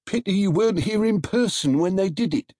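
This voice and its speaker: A man voicing an excitable male market stallholder who gets carried away. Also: high-pitched, fairly quick, dry recording, studio quality, voice pushed up. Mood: eager.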